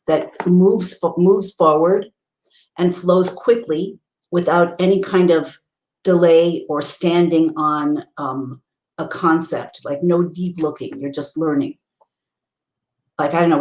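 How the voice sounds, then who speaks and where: A woman's voice: low-pitched, darkish tone, echoey room, poor audio. A woman giving a spiritual teaching talk, earnest and explanatory, often restarting her sentences. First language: English. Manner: earnest, explanatory, with many restarts and Hebrew readings.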